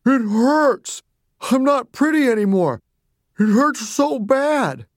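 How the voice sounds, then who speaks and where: A suffering mother turned monstrous, as an adult narrator plays her, with a strange, raised voice. She pleads haltingly and tearfully, weak and wounded, in a dark audiobook horror tale. The recording is very dry. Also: mid-range voice, expressive, no room sound, pitched above the performer's own voice. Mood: pained, pleading.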